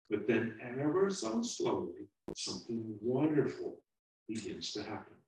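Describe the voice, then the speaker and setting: A man voicing a patient, wise old apple who speaks calmly and gently. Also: medium pitch, reverberant, recorded from a distance, pitched above the performer's own voice, rough recording. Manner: calm, soothing, gently stern.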